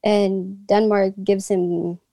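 A woman in her thirties from the Philippines talks as an interview guest, often pausing and restarting her sentences. Her voice is mellow and soft-edged, and the recording is dry and close, with no room sound. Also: mid-range voice, little pitch movement, creaky, no echo. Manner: hesitant, many restarts and fillers. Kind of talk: casual interview conversation.

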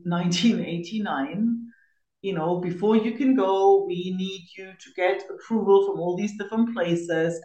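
A woman's voice: lowish voice, darkish tone, muffled recording. A middle-aged woman from East Germany, telling her own story conversationally as a podcast guest, with informal asides. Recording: roomy, echoey sound.

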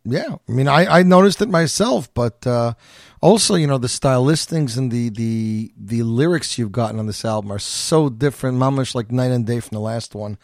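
A man's voice: mid-range voice, normal speed, a little mellow, no echo, studio quality. A man interviewing a singer in a casual, chatty way with many fillers.

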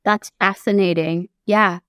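Animated woman asking questions. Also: mid-range voice, unhurried, no echo, studio quality. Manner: animated, acts out quoted reactions. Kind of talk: casual group conversation.

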